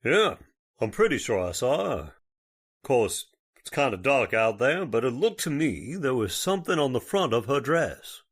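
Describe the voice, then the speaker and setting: A man acting a folksy, genial, steady older judge in an old-time radio horror play, speaking with deliberate politeness. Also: medium pitch, slightly rough. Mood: puzzled.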